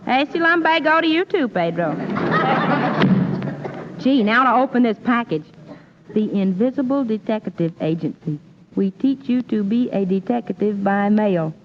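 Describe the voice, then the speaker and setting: An actress playing a gullible, excitable, folksy woman in old-time radio comedy, full of exclamations. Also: medium pitch, measured pace, close to an everyday voice. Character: scatterbrained, excitable comic lady, folksy and gullible. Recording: noisy, muffled old radio recording.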